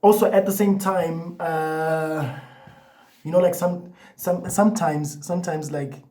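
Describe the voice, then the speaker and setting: A man's voice: a little high, fairly even intonation, takes it slowly, warm tone. A young man talking casually to the camera, with a stutter that brings repeated words and restarts, his speech loose and full of "like" and "you know". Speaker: vlogger.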